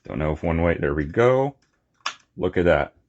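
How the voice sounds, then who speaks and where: A man giving a casual, unscripted hands-on toy review, talking in short, halting phrases on a slightly muffled recording. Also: sits low, expressive, a little mellow. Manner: halting, short phrases while handling objects.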